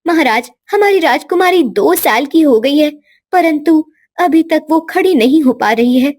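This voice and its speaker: A woman's voice: sits high, animated, measured pace, a little mellow, no room sound, clean studio sound, pitched above the performer's own voice. A woman voicing an anxious, devoted queen and mother in a cartoon, with formal, pleading speech. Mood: worried, pleading.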